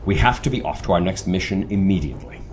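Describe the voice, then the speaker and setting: Commanding older male leader man acting for audio drama. Low, expressive, authoritative, with faint noise behind. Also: fast talker. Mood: grave, persuasive.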